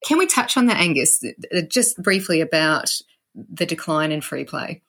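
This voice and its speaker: Low-voiced Australian woman, chatty host. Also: expressive, normal speed, fairly bright tone, husky. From South Australia. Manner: chatty, laughs easily, self-correcting. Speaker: podcast host; educator.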